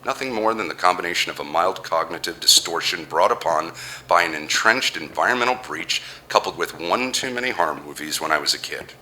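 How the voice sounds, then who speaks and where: An actor playing a haunted, remorseful business tycoon in an audio horror drama, with a brooding, deliberate delivery. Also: male voice, low voice, expressive, a little hiss or hum, exaggerated voice, poor audio. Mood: uneasy, musing. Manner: brooding, deliberate, halting when shaken. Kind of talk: dramatic first-person horror narration and dialogue. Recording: loud music under the voice. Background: dramatic music.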